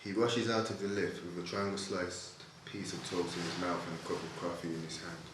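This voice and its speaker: A man with a deep voice narrates script directions at a read-through. The room rings with heavy echo, and there is clear background noise. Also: little pitch movement, fairly quick, fairly bright tone. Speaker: reader of stage directions. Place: echoey indoor room.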